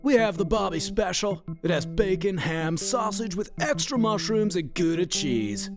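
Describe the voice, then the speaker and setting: An actor playing a goofy, crude, pun-loving pizza cook in a comedic radio play, with a clownish, theatrical delivery. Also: male voice, sits high, animated, moderate pace, music in the background. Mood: jokey, eager. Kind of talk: comedic radio drama acting.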